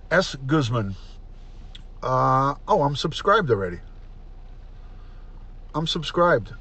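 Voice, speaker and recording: A middle-aged man hosting a casual livestream, chatty and repetitive, often clearing his throat. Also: mid-range voice, sing-song, slow, pauses often, sharp tone. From New York. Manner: chatty, repeats himself, frequent throat clearing. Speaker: livestream host, retired detective. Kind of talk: casual livestream chat, reading comments aloud.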